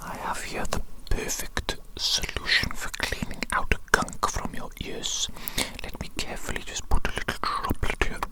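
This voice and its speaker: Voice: moderate pace, natural voice, poor audio. An ASMR performer voicing an eccentric evil doctor who dotes on a tiny creation, muttering and repeating phrases theatrically at a close microphone. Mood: fussy, soothing. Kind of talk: whispered ASMR medical roleplay.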